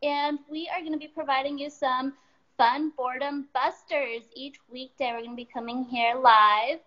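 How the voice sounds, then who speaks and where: A woman's voice: high-pitched, steady tone, normal speed. A woman casually introducing a children's livestream as its host from home. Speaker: company presenter hosting a children's livestream. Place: at home, on a live stream. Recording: slightly dull livestream audio.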